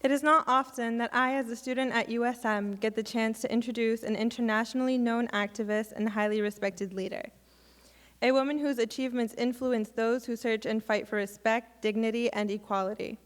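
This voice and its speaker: A woman's voice: a little high, fairly even intonation, brisk. A young woman student delivering a prepared introduction in a careful, read-aloud manner. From Afghan family, grew up in Maine. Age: young adult. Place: lecture hall. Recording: long hall reverb.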